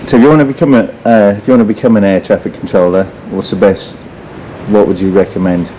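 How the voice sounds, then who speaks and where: A man's voice: lowish voice, steady tone, fast, warm tone, slight background noise, low-quality recording. A man asking casual, unscripted questions as an interviewer.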